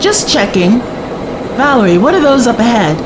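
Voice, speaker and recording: An actress playing a spirited, cheeky young woman companion in a science fiction audio drama, expressive and teasing. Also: a little high, normal speed, noisy background. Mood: curious.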